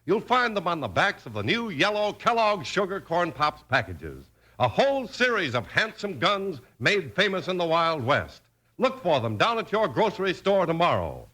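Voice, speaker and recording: A man's voice: sits high, wildly swooping pitch, normal speed, bright, forceful tone. A man announcing and narrating in a dramatic, emphatic 1950s radio style.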